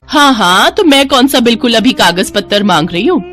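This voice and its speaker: A woman's voice: fairly high pitch, animated, a little fast, a bit bright, faint music behind. A woman voicing a greedy, scheming sister-in-law in a moral story, pushy and complaining.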